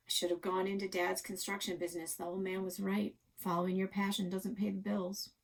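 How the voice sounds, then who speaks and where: A woman's voice: medium pitch, flat delivery, a little fast, close to an everyday voice. A woman voicing an exasperated father in a children's story, with a firm, emphatic manner. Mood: defeated, ashamed. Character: stern, exasperated father scolding his young daughter. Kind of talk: children's novel read-aloud.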